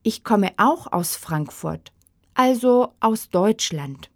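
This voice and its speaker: A woman reading numbers and textbook dialogue lines with careful, deliberate enunciation for a language course. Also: mid-range voice, expressive, dry recording, clean studio sound. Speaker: course audio speaker.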